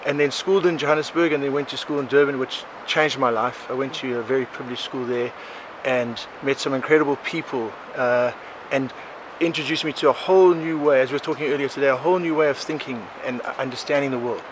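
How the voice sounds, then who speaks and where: A South African man in his forties, a podcast guest, telling his life story in a rambling, animated way, often laughing. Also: medium pitch, quick pace, hardly any pauses. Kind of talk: casual conversational storytelling. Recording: outdoor recording with clear background noise.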